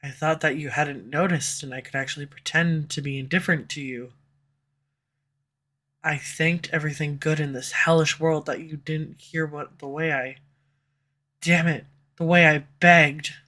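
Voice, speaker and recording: Woman doing a bright, biting villainess. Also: low voice, steady tone, moderate pace. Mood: confessional. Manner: sarcastic, swears, turns confessional. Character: sharp-tongued tsundere female villain. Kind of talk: audio roleplay. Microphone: studio USB condenser mic.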